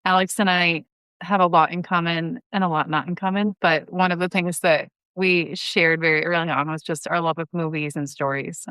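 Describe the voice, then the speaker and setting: A woman with a clear, smooth and slightly low voice speaks as an interview guest. She explains things conversationally, and the recording is dry with no room sound. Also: steady tone, moderate pace, a bit bright, no echo. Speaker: podcast guest, head writer and producer.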